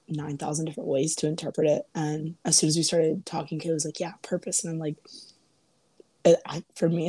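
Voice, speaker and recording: A young woman co-hosting a casual faith podcast, her voice raspy and hoarse from having lost it. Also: low voice, dry recording, low-quality recording. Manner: laughs often, relaxed and chatty. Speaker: podcast co-host. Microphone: home podcast mic.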